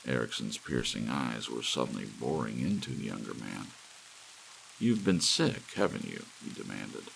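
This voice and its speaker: A man narrates an audiobook in a very deep voice with plenty of fry. His scripted delivery moves through wide pitch swings, with a faint hiss of rain behind him. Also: rough recording. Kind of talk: scripted storytelling for ASMR. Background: soft rain.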